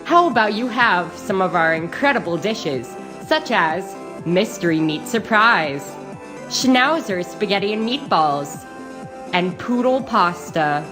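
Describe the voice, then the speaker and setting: Woman playing a perky restaurant ad announcer. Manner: upbeat sales pitch. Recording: music playing behind.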